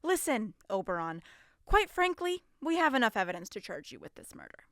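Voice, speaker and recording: A woman's voice: fairly high pitch, very animated, brisk, no room sound, studio quality. A woman playing an earnest, guarded police interrogator in an audio drama, with a firm, businesslike and strained manner. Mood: firm, probing.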